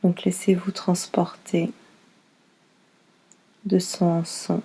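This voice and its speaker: A woman leading a guided yoga nidra relaxation as instructor, soothing and unhurried, speaking in short phrases with pauses. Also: on the low side, little pitch movement, dark tone, slightly rough, low-quality recording.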